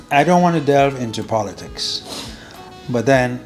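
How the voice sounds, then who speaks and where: A man hosting an interview, asking questions in careful, measured phrasing. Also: medium pitch, animated. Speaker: interview host. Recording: faint background music.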